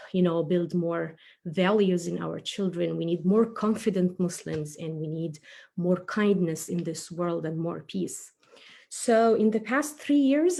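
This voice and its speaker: A woman's voice: mid-range voice, darkish tone. A woman, a preschool teacher and storyteller who talks to children live with playful explanations and questions. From Egypt. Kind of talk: introducing herself and telling a children's story live.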